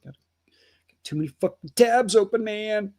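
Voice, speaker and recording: A man's voice: high-pitched, moderate pace, darkish tone. A man hosting a casual livestream, reading out viewer donations and messages in a chatty, swearing manner.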